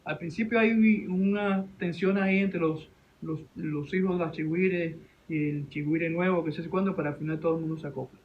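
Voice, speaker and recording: A man with a Venezuelan accent telling a story informally in an interview, halting and repeating his words. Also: on the high side, steady tone, highs cut off, a little hiss or hum. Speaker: interview guest, animation director and teacher.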